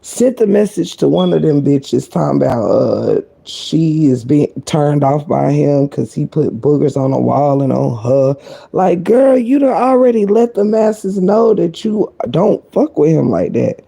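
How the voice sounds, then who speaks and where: Woman live-streamer, deep and croaky, very dark tone, blunt sassy commentary delivered quietly. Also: animated, a little slow. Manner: sassy and blunt, heavy cursing; talking low to keep quiet.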